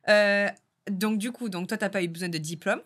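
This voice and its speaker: A woman hosting a podcast interview, friendly and agreeable, tossing in quick follow-up questions. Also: medium pitch, fairly bright tone. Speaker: podcast host. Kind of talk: casual interview chat.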